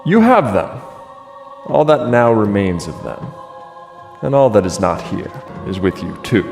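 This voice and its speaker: A vengeful tragic king acted by a man, low-voiced and slow, with gloating mock courtesy and big theatrical sweeps of pitch. The lines echo in a long hall reverb. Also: big pitch swings, creaky, over music, exaggerated voice. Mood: cruel triumph. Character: vengeful gloating king, falsely gracious to his brother. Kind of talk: classical tragedy stage acting.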